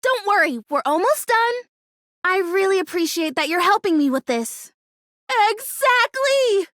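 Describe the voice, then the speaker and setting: Earnest excitable plant character for a game, super high voice, dramatic, really dry close sound. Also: female voice, measured pace, dry recording, exaggerated voice. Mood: eager, coaxing. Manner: excitable, dramatic, sincere, expressive. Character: perky, earnest female houseplant dreaming of becoming real. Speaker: video game voice actor. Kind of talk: animated video game character dialogue.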